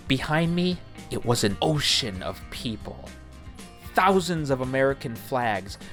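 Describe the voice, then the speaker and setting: A man reading scripted documentary-style narration in a wry, literary manner. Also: mid-range voice. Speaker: narrator of a protest account. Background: music, guitar.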